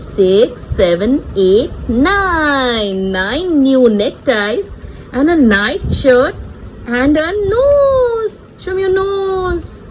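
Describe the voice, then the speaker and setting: Woman, high-pitched, very slow, playful teacherly storybook reading for young children, repetitive phrasing. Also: slight background noise, rough recording. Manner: sing-song, playful, teacherly, repeats words and points things out. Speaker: children's read-aloud presenter. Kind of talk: animated picture-book reading for small children.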